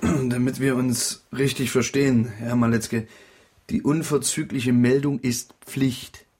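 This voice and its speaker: A stern male official in a radio drama. His mid-pitched voice is husky and stays very even, with a formal, firm, dutiful delivery. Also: slow. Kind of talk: German radio drama dialogue.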